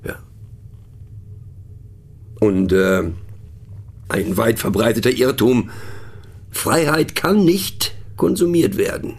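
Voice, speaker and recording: An actor playing a musing, mocking train passenger in a radio play, talking ponderously with hushed asides and a creaky voice. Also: male voice, mid-range voice, full character voice. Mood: musing, wry.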